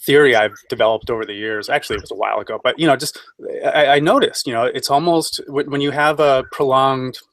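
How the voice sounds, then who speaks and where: A man speaking haltingly and repeating phrases as a panelist in a casual political discussion on an online call. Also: medium pitch, a bit bright. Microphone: online group voice call.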